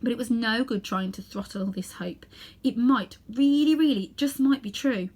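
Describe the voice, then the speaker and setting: A woman narrating a children's storybook expressively, with dramatic emphasis and hushed asides. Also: fairly high pitch, normal speed. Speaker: storybook reader.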